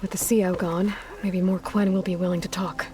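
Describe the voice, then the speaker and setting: A woman's voice: fairly low pitch, level tone, fairly quick, lightly acted. A woman playing a resolute young hunter and leader in a video game, plain-spoken and dryly wry. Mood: focused musing. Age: young adult. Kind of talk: video game cutscene acting. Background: faint music.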